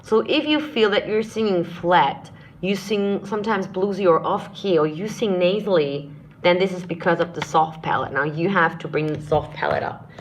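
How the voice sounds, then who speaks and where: Woman vocal coach, lower voice, patient explainer who demonstrates as she teaches, live-stream lesson. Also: moderate pace, a little hiss or hum. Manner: explanatory, demonstrates sounds and short sung phrases while teaching; addresses viewers warmly as friends and dears. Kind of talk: live-streamed singing lesson answering chat questions.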